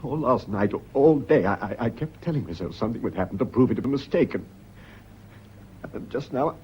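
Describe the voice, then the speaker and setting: An actor with a British accent playing a tormented, suspicious widower in a radio drama, stammering, troubled and insistent. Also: male voice, mid-range voice, expressive, brisk, a little mellow, slightly rough. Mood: anguished. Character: Gregory, tormented, suspicious widower in love with Elizabeth. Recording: dull lo-fi radio recording, faint noise.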